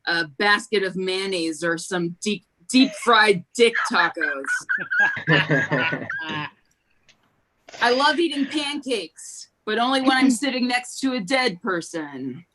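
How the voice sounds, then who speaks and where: Woman comic, stand-up one-liners, drawn-out deadpan pacing, lively swooping intonation, video call. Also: medium pitch, low-quality recording. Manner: slow, deadpan delivery with giggles between jokes. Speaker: stand-up comedian.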